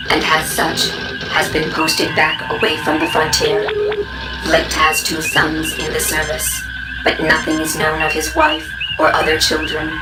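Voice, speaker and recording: An impassive ship's computer, voiced by an actor, recites records in a clipped, utterly monotone voice. It sounds echoey and far off, with loud music, as in a sci-fi game. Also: mid-range voice, lightly acted. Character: impassive starship computer reading database records. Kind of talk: scripted sci-fi game database readout.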